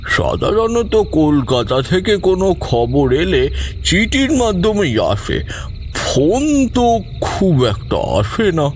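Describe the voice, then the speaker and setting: A man playing an old grandfather who recounts eerie memories in a measured, suspenseful, conversational way. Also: high voice, very animated, a little hiss or hum, full character voice. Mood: grave, reminiscing. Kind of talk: dramatic first-person horror storytelling.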